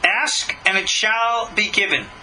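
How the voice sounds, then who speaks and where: Bright, high-pitched man teaching as a rabbi. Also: animated, a little slow, slight background noise, poor audio. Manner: emphatic, argumentative, with rhetorical questions. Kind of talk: religious lecture with scripture reading and commentary.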